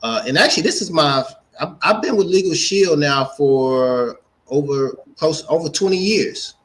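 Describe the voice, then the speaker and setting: A man's voice: a little high, animated, moderate pace. A man of forty-nine with an American accent, telling his story casually as an interview guest and repeating phrases for emphasis. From Gary, Indiana, United States. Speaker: guest, entrepreneur and speaker.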